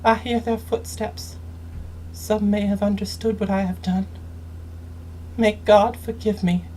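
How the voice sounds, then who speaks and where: A woman game streamer reading story texts aloud in a measured, dramatic storytelling manner, with noticeable noise in the recording. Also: medium pitch, fairly even intonation, warm tone, low-quality recording. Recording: clearly audible background noise.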